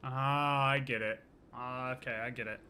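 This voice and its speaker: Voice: medium pitch, steady tone, moderate pace, sharp tone, a little hiss or hum. A game streamer reacting casually and bluntly, reading game text aloud and muttering sarcastic asides. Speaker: streamer playing a video game. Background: game music.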